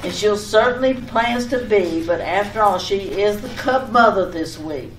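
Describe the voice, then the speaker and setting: An echoey, distant recording with faint noise carries an actress as an insistent wife from an old radio comedy. She rambles breezily through her explanations at a middle pitch, coaxing with mild exasperation. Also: expressive, a little slow, close to an everyday voice. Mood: insistent, over-explaining. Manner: chattering, coaxing, over-explaining. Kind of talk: old-time radio domestic comedy acting.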